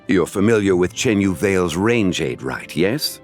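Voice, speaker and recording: Deep dignified scholar gentleman, formal and courteous, lots of melody, game cutscene, faint music. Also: lively intonation, moderate pace. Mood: scholarly. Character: dignified, scholarly, calm gentleman antiques connoisseur. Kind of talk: video game cutscene voice acting.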